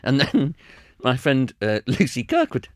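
A man telling a rambling anecdote as a comedy podcast guest, halting and laughing as he goes. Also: medium pitch, wildly swooping pitch, darkish tone, dry recording, clean studio sound. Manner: halting, many restarts, laughs often.

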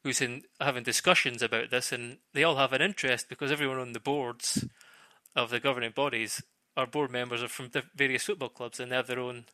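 A man giving off-the-cuff football analysis as a pundit, haltingly, searching for words and repeating phrases. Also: mid-range voice, bright, forceful tone, no room sound.

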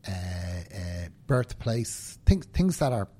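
A man's voice: low-pitched, very animated, slow pace, warm tone. A man in his fifties with a Dublin Irish accent, speaking earnestly as an interview guest and often checking in with "right?". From Ireland. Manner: earnest, emphatic, tag questions like "right?". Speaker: interview guest, banking professional. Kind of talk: conversational interview. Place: indoors, seated conversation.

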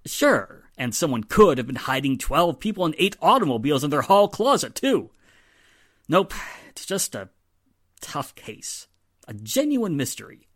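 An actor as a male police inspector, 1940s radio drama, weary, sardonic, emphatic, widely swinging pitch, dry close sound. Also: sing-song, measured pace, a bit bright, dry recording. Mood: dry, firm.

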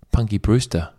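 A man's voice: on the low side, warm tone, breathy edge. A man from Germany whose first language is German, co-hosting a casual podcast in an easygoing, hesitant way. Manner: easygoing, hesitant, laughs often. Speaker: podcast co-host. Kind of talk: casual chat, guessing game.

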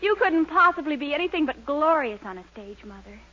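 Gushy young girl, very lively high voice, old noisy radio comedy. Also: a little fast. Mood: flattering, coaxing. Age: young adult. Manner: gushing, exclamatory. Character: eager, gushing teenage daughter and sweetheart. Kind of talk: 1940s radio comedy acting. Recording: muffled, noisy 1940s radio recording.

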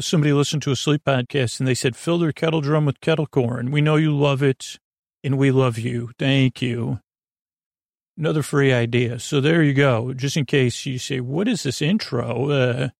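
A man hosting a sleep podcast in a casual, meandering monologue, halting and repeating himself as he drifts into tangents. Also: medium pitch, gravelly, dry recording, studio quality. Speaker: podcast host.

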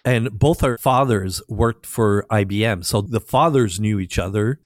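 Low-voiced man with Québécois French accent. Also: measured pace, dry recording. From Quebec, Canada. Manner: relaxed storytelling with fillers. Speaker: guest DJ. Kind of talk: casual interview chat.

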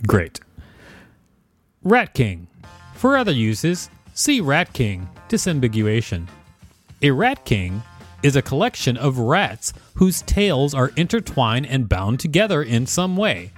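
A male podcast host reads reference text aloud with commentary. His pitch moves around a lot while his pace stays unhurried. The delivery is playful and theatrical, with faint music around it. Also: sing-song. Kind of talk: reading an encyclopedia page aloud with commentary.

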